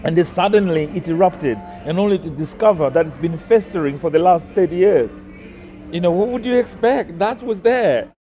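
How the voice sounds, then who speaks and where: A middle-aged man reflecting aloud in a halting way, repeating words as he searches for his thought. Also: high voice, expressive. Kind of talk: reflective spoken recollection. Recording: music mixed loudly under the voice. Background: music.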